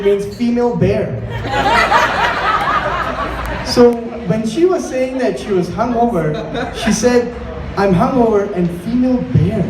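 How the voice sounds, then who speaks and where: A man from Singapore performing a conversational stand-up comedy set, swearing freely and acting out bits of dialogue. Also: high voice. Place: indoor comedy venue with audience. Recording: echoey, distant live room with crowd noise.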